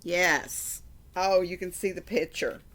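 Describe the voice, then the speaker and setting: Lady reading a picture book to kids, slow pace, kinda low bright voice, chatty asides. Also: steady tone. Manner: conversational, repeats phrases for emphasis. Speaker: storybook reader. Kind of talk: informal read-aloud to children with chatty asides.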